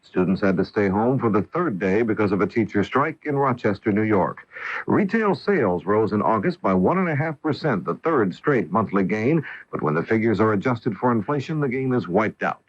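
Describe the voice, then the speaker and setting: A man's voice: on the low side, animated, moderate pace, no room sound, lo-fi sound. An American man reading scripted news as a radio anchor, on an old broadcast recording.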